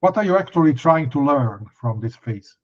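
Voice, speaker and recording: A man interviewing a guest, putting questions in hesitant pieces with pauses between them. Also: a little high, animated, lo-fi sound.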